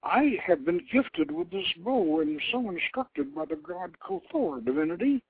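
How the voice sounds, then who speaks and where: A bold, cocky young prince of legend, read out by a man, with emphatic storybook delivery. The pitch is raised and swings freely, and the sound is narrow like a telephone. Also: very animated, unhurried, breathy edge, exaggerated voice. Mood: respectful. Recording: tinny phone-line internet radio.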